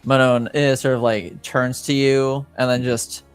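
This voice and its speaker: A man improvising narration as game master of a tabletop game, with halting, hesitant phrasing. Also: medium pitch, flat delivery, clear, resonant tone, soft background music.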